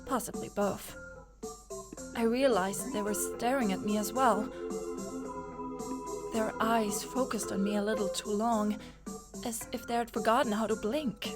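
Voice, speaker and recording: A woman tells a suspenseful visual novel story in the first person, in character as a student. Her voice sits fairly high and she takes her time. Loud music plays beneath the atmospheric narration. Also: fairly even intonation, measured pace, long pauses. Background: prominent background music.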